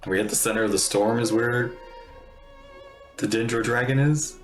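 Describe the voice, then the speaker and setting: A man's voice: medium pitch, fairly even intonation, normal speed, faint music behind. A man streaming a video game, giving casual reaction commentary.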